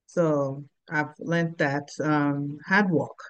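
A middle-aged woman with a Nigerian accent, answering interview questions in an unhurried way with pauses. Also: low voice, fairly even intonation, smooth, dry recording, muffled recording. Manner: unhurried, simple sentences with pauses and fillers. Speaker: guest, business owner.

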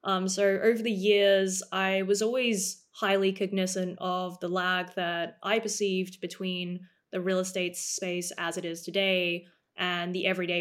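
A woman in her thirties from Australia, a startup co-founder explaining her business in a podcast interview, polished and measured with frequent "um"s. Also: on the low side. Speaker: guest, startup co-founder and CEO.

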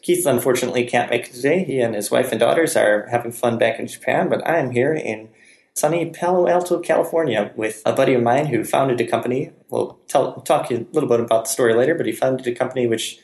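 Chatty podcast host man, gravelly voice. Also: medium pitch, quick pace, a little mellow, roomy sound. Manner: chatty, rambling, self-deprecating. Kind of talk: casual conversational podcast. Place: room in Palo Alto, California.

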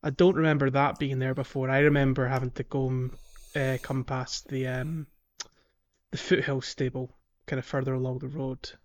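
A man's voice: mid-range voice, level tone, moderate pace. A man hosting a gaming podcast in casual conversation, explaining things in a hesitant, rambling way. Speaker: podcast host.

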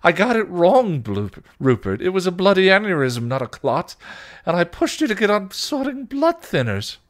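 A man's voice: high voice, huge pitch swings, moderate pace, pitched above the performer's own voice. A man voicing an anguished, self-loathing vampire who pleads and rages frantically in British slang for a story. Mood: distraught, insistent.

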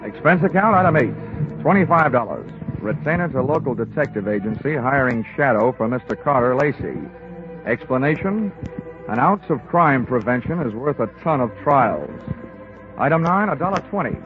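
Male actor playing hard-boiled American investigator, deadpan and wisecracking, vintage radio drama, narrow old recording with music behind. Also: medium pitch, moderate pace, natural voice. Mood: wry, narrating. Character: wry, hard-boiled male insurance investigator narrating his case. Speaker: lead actor and narrator. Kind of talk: 1950s radio detective drama acting.

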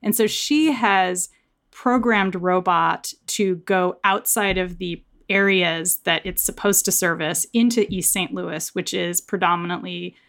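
Woman, slightly low voice, author guest talking through her work in casual interview style. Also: measured pace, fairly bright tone. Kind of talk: conversational interview discussion.

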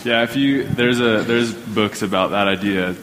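A man's voice: lowish voice, normal speed, noisy background. A man leading a group discussion, prompting people with short questions and acknowledgements. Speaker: class discussion leader.

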